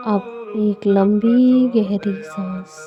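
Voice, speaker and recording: A woman leading a guided meditation, giving gentle, deliberate instructions with drawn-out phrasing and long pauses. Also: medium pitch, steady tone. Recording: dull lo-fi audio with music. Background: background music.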